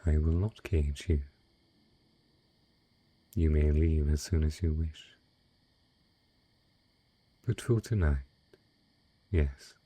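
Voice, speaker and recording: A very deep, dark male voice, breathy and close, a man acting out an ancient dragon disguised as a rich patron. He draws out each formal phrase slowly and seductively, possessive yet courtly, in an intimate romantic audio drama. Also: no echo, exaggerated voice. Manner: slow, deliberate, formal, velvety seductive phrasing.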